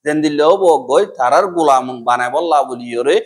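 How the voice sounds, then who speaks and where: A man presents a scripted news bulletin. He speaks with a Rohingya accent. His voice sits a little higher than is usual for a man. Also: brisk.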